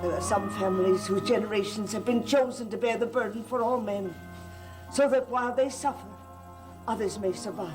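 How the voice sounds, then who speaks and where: A woman's voice: mid-range voice, brisk, darkish tone, faint music behind, close to an everyday voice. Woman acting a stern, imperious family guardian. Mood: grave, commanding. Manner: commanding, interrogating, solemn. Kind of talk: gothic soap opera acting.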